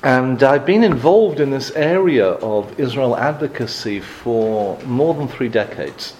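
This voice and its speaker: Animated English-born man lecturing with dry humor. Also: mid-range voice, a little slow, slight background noise. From England. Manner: dry wry humor, frequent ums and restarts. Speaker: guest speaker on advocacy. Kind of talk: lecture to a live audience.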